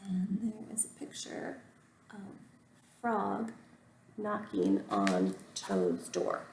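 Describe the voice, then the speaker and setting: Female first-grade teacher, storybook read-aloud: unhurried, simple explanations, animated intonation, roomy recording. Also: mid-range voice, creaky, a little hiss or hum. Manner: explains simply to children, with deliberate phrasing. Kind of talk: reading a storybook aloud to young children.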